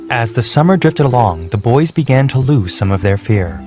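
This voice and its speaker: Steady male children's story narrator. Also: medium pitch, normal speed. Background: background music.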